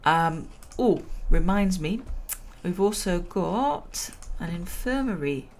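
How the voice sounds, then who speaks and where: Woman streamer, slow and chatty, gravelly voice going hoarse, laughs at her own jokes. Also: lowish voice, expressive, a little mellow. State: losing her voice. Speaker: gameplay commentator. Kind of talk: relaxed let's-play commentary.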